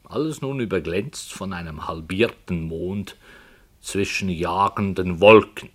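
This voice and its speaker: A man playing a brooding writer in a radio play, solemnly and hesitantly telling a dark tale with earnest, dramatic emphasis. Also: sits low. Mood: intense, solemn. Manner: slow, hesitant, earnest, dramatic swells and hushes. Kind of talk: 1960 German radio play, literary storytelling.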